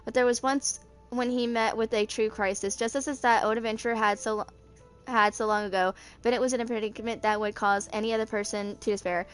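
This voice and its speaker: Young woman haltingly reading lore over music. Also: on the high side, fairly even intonation. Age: 20s. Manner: halting read-aloud with stumbles over words. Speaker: video creator. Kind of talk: reading character lore aloud.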